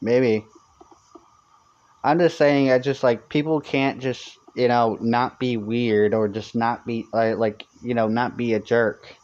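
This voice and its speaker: A young man with a somewhat high voice chats on a live stream. He rambles and restarts his sentences constantly, filling every gap with "like" and "you know". The audio sounds a little dull and lo-fi. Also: fairly even intonation, takes it slowly, darkish tone. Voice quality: fairly high-pitched for a man. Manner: rambling, many fillers and restarts. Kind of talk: casual live-stream chat.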